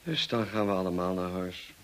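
An actor playing a brusque, teasing, moody gentleman in a Dutch radio drama, speaking in a dry, commanding way. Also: sits low, normal speed. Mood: curt, dry. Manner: dry, commanding, clipped questioning.

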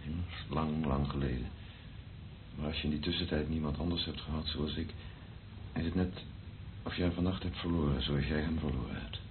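Deep, gravelly, tender priest. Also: male voice, steady tone, moderate pace. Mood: quiet, probing. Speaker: actor in a radio play. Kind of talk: Dutch radio drama acting. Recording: dull, lo-fi, noisy old radio recording.

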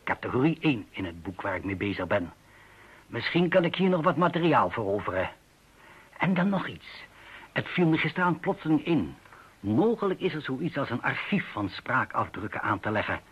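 An actor playing a precise, self-assured linguistics professor in a radio play, measured and pedantic. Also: male voice, medium pitch, very animated, breathy edge. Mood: curious, pleased. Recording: dull lo-fi radio drama recording.

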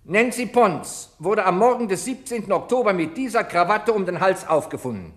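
A haughty male prosecutor in a radio courtroom drama. His high voice swings widely in pitch as he lays on biting irony and theatrical, rhetorical pauses. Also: animated. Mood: accusing. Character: sarcastic, haughty male public prosecutor hounding the accused. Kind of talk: German radio crime play acting, courtroom oratory.

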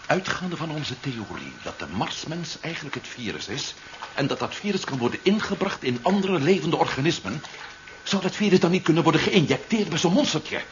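A man's voice: a little high, sing-song, brisk. An actor playing a wary, practical male space adventurer in a 1970s radio play, speaking earnestly. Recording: dull, noisy vintage radio recording.

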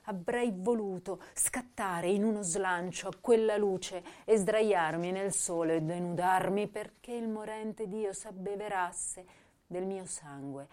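An actress playing an intense, sensitive young woman poet, declaiming verse in a measured, lyrical way for a radio drama. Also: on the low side, a little mellow. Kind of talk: dramatic poetry recital in a stage-style radio drama.

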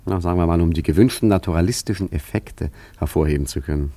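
Radio drama lead: an ironic, philosophical charmer and art forger, low voice, musing and leisurely. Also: male voice, fast, warm tone, no room sound. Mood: wry storytelling. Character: wry, roguish male art forger and painter, philosophical charmer. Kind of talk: German radio play acting and narration.

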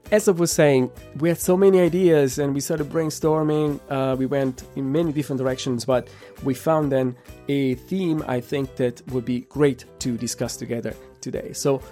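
Mellow-voiced interviewer over faint music. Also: mid-range voice, normal speed. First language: not English. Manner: friendly, encouraging with frequent mm-hmms. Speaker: podcast host. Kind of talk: casual interview.

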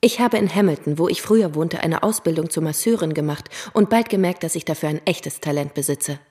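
An actress playing a brash young masseuse in a radio play, breezy, chatty and teasing. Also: female voice, deep voice, brisk, a little mellow, husky. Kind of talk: radio drama acting.

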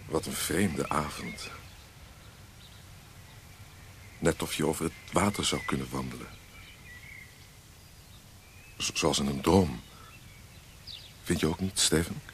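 Earnest youth, very deep voice. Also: male voice, normal speed, fairly bright tone, a little hiss or hum. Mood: dreamy. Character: anxious, earnest boy troubled by God. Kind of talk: radio drama acting.

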